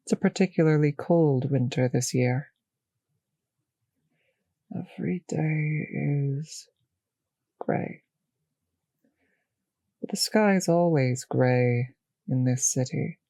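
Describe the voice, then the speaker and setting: A woman acts out a refined female vampire for an intimate audio drama, possessive and predatory. Her voice is husky and close, recorded very dry, with an unhurried pace. She teases with condescending endearments and slips into whispers. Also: low-pitched, warm tone, no room sound, exaggerated voice. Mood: languid, teasing. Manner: slow, condescending, teasing endearments, drifting into whispers.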